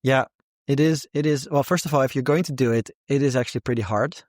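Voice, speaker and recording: A man with a Dutch accent speaks as an interview guest in a relaxed, thoughtful way, often doubling words while he finds his phrasing. His voice sits at a middle pitch. The sound is dry and close, with no room around it. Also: fast talker, no echo. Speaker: author guest. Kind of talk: casual interview talk.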